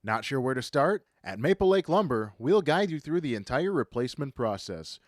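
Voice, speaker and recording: A man's voice: mid-range voice, sing-song, moderate pace, no echo, clean studio sound. An American man reading scripted local radio commercials as an announcer. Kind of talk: scripted ad read.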